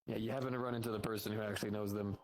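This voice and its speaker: A man's voice: fairly low pitch, level tone, rapid-fire. A man roleplaying a knowledgeable insect keeper at a fantasy hunters' guild, explaining patiently and informatively in an improvised style. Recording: faint music behind the voice. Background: faint music.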